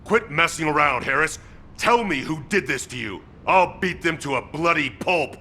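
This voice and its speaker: Forceful, hot-headed fighter guy. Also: a little high, expressive, normal speed, a little hiss or hum. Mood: angry, scolding. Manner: blunt, agitated, scolding. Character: hot-headed, protective, gruff male construction-corps fighter. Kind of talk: dramatic video game voice acting.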